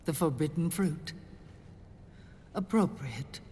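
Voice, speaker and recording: An actress playing an older woman who leads a resistance group, speaking in a soft, measured, deliberate way in a game cutscene. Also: low-pitched, expressive, slight background noise. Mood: solemn. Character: older woman, calm mysterious rebel leader. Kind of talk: video game cutscene acting. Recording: reverberant game cutscene with music.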